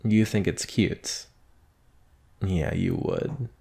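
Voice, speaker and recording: A man acts out a bashful young male werewolf student in an intimate ASMR roleplay. His voice is low and full of creak. He speaks slowly and haltingly, nervous around the one he has a crush on. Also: warm tone, heavily acted. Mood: wry, confiding. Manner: hesitant, bashful, halting, sighing.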